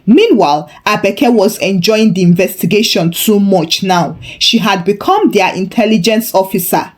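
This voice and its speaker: A woman's voice: medium pitch, normal speed. A woman narrating a folktale in a theatrical, humorously exaggerated style. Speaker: storyteller. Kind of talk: dramatic scripted folktale narration.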